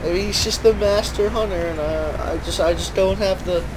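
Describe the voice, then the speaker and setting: A man's voice: high-pitched, normal speed, over music, rough recording. A man giving sarcastic, sweary game commentary in an exasperated, repetitive, mock-enthusiastic manner. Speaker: gaming streamer. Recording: loud fan and game noise behind. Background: whirring computer fan, game audio.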